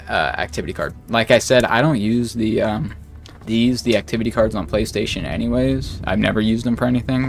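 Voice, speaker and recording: A man's voice: fairly low pitch, creaky. Rambling, swearing male gaming streamer. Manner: rambling, swears freely, many fillers like "like"; reads patch notes slowly then reacts. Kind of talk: casual commentary on game patch notes.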